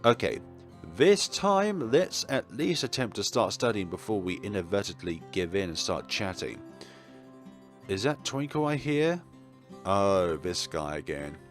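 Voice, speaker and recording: Male let's player, lowish voice, very lively delivery, narrates and comments casually over game music. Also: unhurried. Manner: expressive, acts out dialogue, chuckles and stumbles over words. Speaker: let's play host. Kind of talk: reading a visual novel aloud with casual commentary.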